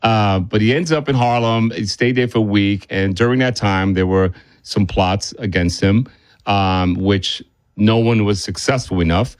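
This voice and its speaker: A man's voice: lowish voice, a little slow, no room sound. A man speaking conversationally as a film director being interviewed, often circling back and repeating himself.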